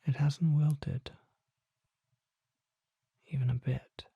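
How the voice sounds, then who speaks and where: An ASMR performer voicing a mysterious, suave vampire apothecary merchant in a breathy whisper, with measured, formal and coy phrasing. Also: mid-range voice, steady tone, warm tone, no room sound, full character voice. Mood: hushed, intrigued. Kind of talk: ASMR roleplay, soft whispering.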